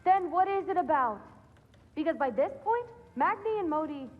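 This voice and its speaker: High-voiced, headstrong teenage goddess. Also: female voice, lively intonation, normal speed, in a large hall, narrow, tinny audio, soft background music. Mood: defiant. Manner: defiant, earnest, impatient. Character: headstrong teenage goddess daughter, defiant and earnest. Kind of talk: dramatic game cutscene acting.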